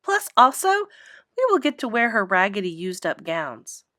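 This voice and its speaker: A woman voicing an excitable, blunt little kindergarten girl with gushing, childish, emphatic speech for a storybook. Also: mid-range voice, moderate pace, no echo, clean studio sound. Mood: proud, gushing.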